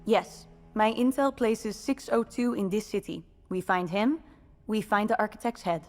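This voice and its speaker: Resistance leader, female actor, for game cutscenes: composed, authoritative, measured delivery, medium pitch, light music underneath. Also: a little mellow, natural voice. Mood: calm, commanding. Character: composed resistance leader woman planning missions, calm and commanding. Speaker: mission commander. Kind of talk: video game cutscene acting.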